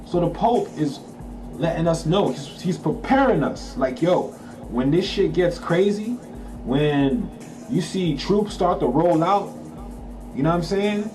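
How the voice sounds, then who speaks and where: A man's voice: on the high side, animated, a little slow, rough recording. Man reading and explaining, over music. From Haiti. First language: Haitian Creole. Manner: emphatic, checks in with listeners, slangy asides. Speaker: channel host. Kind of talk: casual commentary reading aloud from a document.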